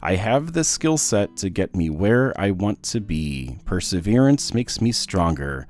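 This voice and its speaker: A man's voice: low-pitched, big pitch swings, unhurried, a little mellow. A man voicing a hopeful, determined little boy who earnestly encourages himself in a children's storybook.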